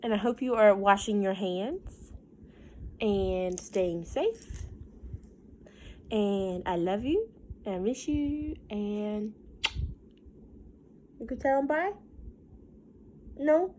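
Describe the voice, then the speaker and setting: A woman teacher reading a picture book aloud to young children, with chatty asides and questions, in an expressive, gentle teacherly manner. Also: mid-range voice, extremely slow, long pauses, a little hiss or hum, rough recording.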